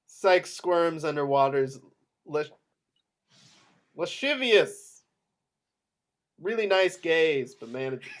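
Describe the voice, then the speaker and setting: A man's voice: on the high side, animated, takes it slowly, long pauses. A man narrating screenplay action in clipped descriptive phrases at an amateur table read. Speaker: narrator reading stage directions.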